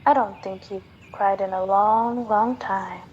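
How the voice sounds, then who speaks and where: A woman's voice: mid-range voice, fairly even intonation, unhurried, muffled recording, background noise, close to an everyday voice. A woman playing a devoted, lovestruck young woman, earnest and melodramatic in an old-time radio drama. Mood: gentle, consoling.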